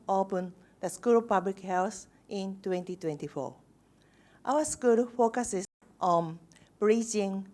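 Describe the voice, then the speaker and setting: A woman's voice: medium pitch, darkish tone. A woman moderating a university video, formally introducing international students one by one. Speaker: faculty moderator.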